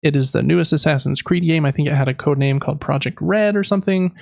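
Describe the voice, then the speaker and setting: A man's voice: fairly high pitch, quick pace, gravelly, a little mellow, no room sound, dull audio. A man hosting a podcast, chatting casually and informally through gaming news. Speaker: podcast host. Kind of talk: casual video game news talk.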